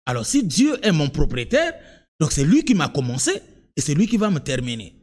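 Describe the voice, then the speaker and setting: A man preaching a sermon in French, which is not his first language, moving from low, direct asides to high, sung-out cries of emphasis. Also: wildly swooping pitch, brisk, darkish tone. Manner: repeats words and restarts, talks to the listener directly. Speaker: apostle preaching.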